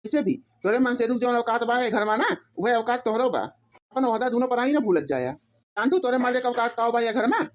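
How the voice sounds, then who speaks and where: A woman's voice: a little high, lively intonation, fairly quick, natural voice. A woman voicing an arrogant, tyrannical spoiled younger brother-in-law with an Awadhi accent, scolding haughtily in a cartoon comedy. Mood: furious, haughty.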